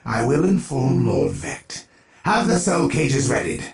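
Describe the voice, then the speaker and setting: An actor playing a cruel, haughty alien lord in a video game, speaking in a theatrical, menacing and imperious way. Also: male voice, medium pitch, sing-song, a little slow, creaky. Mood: cold command. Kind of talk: video game villain acting. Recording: echoing, distant, under loud music.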